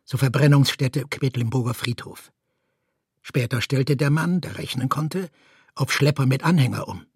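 A man reading scripted literary documentary narration, calm and matter-of-fact. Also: mid-range voice, slightly rough, no room sound, studio quality. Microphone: close studio microphone, dry.

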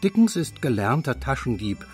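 A man's voice: mid-range voice, big pitch swings, moderate pace, soft background music. Man narrating a radio documentary. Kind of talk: scripted radio feature narration.

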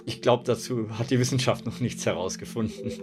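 A man's voice: medium pitch, steady tone, brisk, over music. A man answering children's questions as an expert, explaining things in simple spoken language.

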